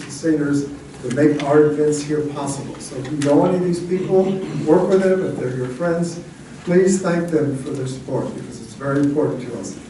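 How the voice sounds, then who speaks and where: A man's voice: on the high side, dark tone. A man acting as event host, giving a live welcome speech to an audience. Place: theatre event hall, live. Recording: very echoey hall, distant, noisy.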